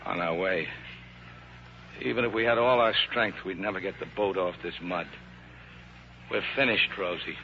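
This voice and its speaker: An actor playing a rough-hewn but tender, uneducated riverboat skipper in a vintage radio drama, talking in plain, folksy, ungrammatical speech. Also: male voice, low-pitched, normal speed, pauses often. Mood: weary, tender. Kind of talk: 1950s American radio theater acting. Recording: muffled, noisy old radio broadcast recording.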